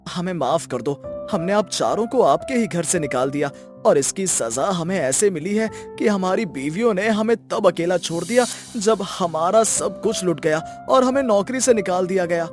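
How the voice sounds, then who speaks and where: A man playing high-voiced dramatic selfish son. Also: animated, moderate pace, a little mellow, faint music behind. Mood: remorseful, pleading. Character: selfish, callous adult son in a family tale. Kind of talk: Hindi moral story cartoon dubbing.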